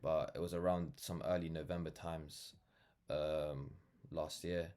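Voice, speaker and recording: A man's voice: very deep voice, little pitch movement, a little slow, mellow. A nineteen-year-old man with a London accent talking casually and reflectively to camera, earnest and rambling, often saying "like" and "you know". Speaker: vlogger, brand founder.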